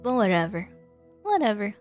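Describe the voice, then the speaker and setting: A woman's voice: medium pitch, very animated, moderate pace, darkish tone. A woman chatting casually on a gaming livestream in a giggly, rambling way. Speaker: live streamer. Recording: music behind the voice. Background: background music.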